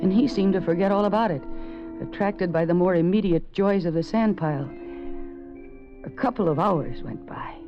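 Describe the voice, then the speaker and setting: Casting: woman as a desperate young wife, earnest, mid-pitched, muffled old radio audio, faint score. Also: normal speed, over music, natural voice. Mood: narrating. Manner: earnest, pleading, urgent. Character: young wife defending her husband. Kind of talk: 1940s radio acting, first-person narration. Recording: dull old radio recording.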